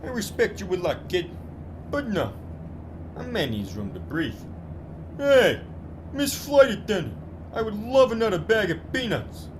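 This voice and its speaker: A brash radio-drama hustler, acted by a man, talking in slangy wisecracks. The voice sits fairly high and swings freely up and down, with faint noise behind it. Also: big pitch swings, measured pace, long pauses, poor audio. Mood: grumbling, blunt. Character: brash, self-serving male hustler with big talk.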